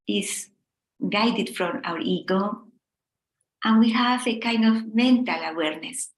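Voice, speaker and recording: A middle-aged woman from Spain with a Spanish accent, gently leading a meditation talk in short, unhurried phrases with pauses. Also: medium pitch, echoey room, poor audio. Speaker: meditation teacher.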